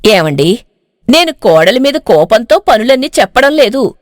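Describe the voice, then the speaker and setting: A woman voicing a stern, commanding village mother-in-law in an animated moral story. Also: fairly high pitch, normal speed, no room sound, studio quality. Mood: firm, explaining.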